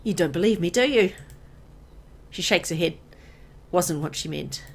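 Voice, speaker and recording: Expressive, anxious young woman, played by an actress. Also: lowish voice, unhurried, creaky, breathy edge, exaggerated voice. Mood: worried. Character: anxious, uneasy young woman telling her horror story. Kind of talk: visual novel dialogue and first-person narration.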